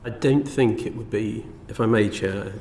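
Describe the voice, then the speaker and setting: A man's voice: fairly low pitch, normal speed, darkish tone. Cautious, courteous man in an echoey hall. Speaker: government minister giving evidence. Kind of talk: formal evidence to a committee. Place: parliamentary committee room. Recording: echoey hall with faint noise.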